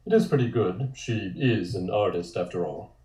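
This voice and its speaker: Mellow male narrator in an echoey room. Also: low-pitched, normal speed. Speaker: narrator reading stage directions. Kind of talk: scripted narration at a table read.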